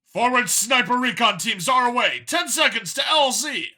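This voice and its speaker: A man's voice: extremely high pitch, lively intonation, normal speed, bright, forceful tone, pitched above the performer's own voice, heavily acted. A man voicing an urgent military pilot in a sci-fi audiobook, barking clipped callouts. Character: urgent military pilot calling out over comms. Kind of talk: dramatised science fiction audiobook.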